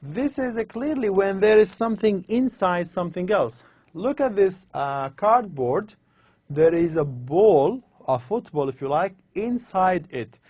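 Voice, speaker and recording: A man lecturing on English grammar over slides, explaining slowly and repeating his examples. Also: high voice, lively intonation, a little mellow, dry recording.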